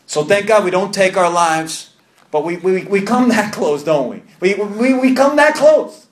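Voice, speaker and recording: A man's voice: high voice, lively intonation, unhurried, roomy sound. A man in his thirties with a New York accent, giving earnest personal testimony to a recovery group, often repeating and restarting phrases. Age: 30s. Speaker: recovery meeting speaker. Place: meeting room.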